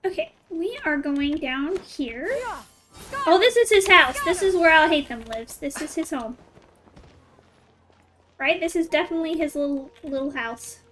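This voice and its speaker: A woman's voice: sits high, lively intonation, a little slow, a bit bright. A woman streaming a video game, chatting and giggling as she reacts. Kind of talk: casual gameplay commentary. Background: game music.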